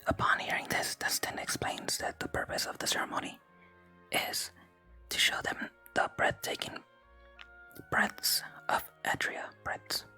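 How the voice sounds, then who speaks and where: A soft, breathy, unhurried whisper narrating a video game let's play in ASMR style. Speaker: video game streamer, host. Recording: close whisper with game music behind. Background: game music.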